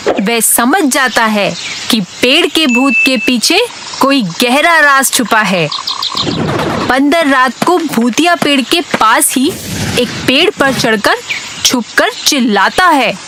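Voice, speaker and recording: Lady narrating a kids story, high voice, slow reading, noisy recording. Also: lively intonation. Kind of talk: scripted children's story narration.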